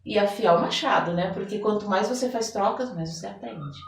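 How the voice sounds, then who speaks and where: A woman's voice: mid-range voice, a little fast, gravelly, a little hiss or hum. A Brazilian woman speaking as an interviewed guest, explaining things conversationally and repeating phrases for emphasis. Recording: roomy echo, distant microphone.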